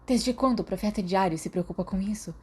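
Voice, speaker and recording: A woman with a Brazilian Portuguese accent voicing a sharp-tongued young witch who hisses her words. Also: medium pitch, fast, breathy edge, close to an everyday voice. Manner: hissing, venomous.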